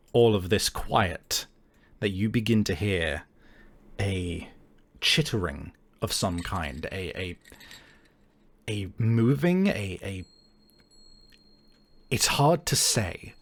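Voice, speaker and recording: A man narrating a tabletop game as game master, improvising and often halting to search for words. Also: fairly low pitch.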